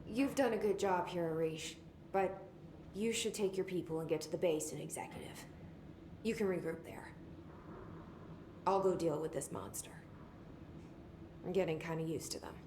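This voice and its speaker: A woman's voice: on the low side, normal speed, long pauses, a little mellow, a little hiss or hum, close to an everyday voice. Woman acting a terse, determined heroine, echoey room. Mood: resolute, businesslike. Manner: terse, direct. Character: determined, guarded young woman agency director. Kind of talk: video game cutscene dialogue.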